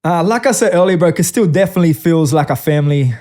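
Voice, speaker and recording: High-voiced man, bro-heavy banter. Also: fast, dark tone. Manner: friendly, bro-heavy banter, laughs often. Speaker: interview host. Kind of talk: casual interview chat.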